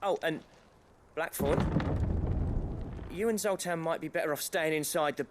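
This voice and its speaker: A man's voice: fairly high pitch, a little slow, very noisy, close to an everyday voice. A male actor playing a plain-spoken, chummy fighter in a video game, wry and colloquial, with a working-class English accent. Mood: apologetic, casual. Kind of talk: dramatic game acting.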